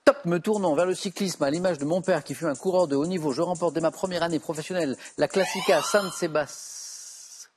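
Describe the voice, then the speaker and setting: A middle-aged man hosting a TV quiz show, reading clues briskly and giving quick verdicts. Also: fairly high pitch, slight background noise. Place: large TV studio set.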